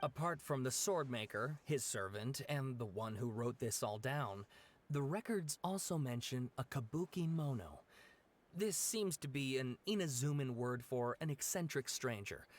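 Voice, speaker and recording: A man's voice: medium pitch, lively intonation, measured pace, close to an everyday voice. An actor playing an amiable, slightly awkward young male researcher in a video game. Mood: explaining. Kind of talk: video game dialogue acting.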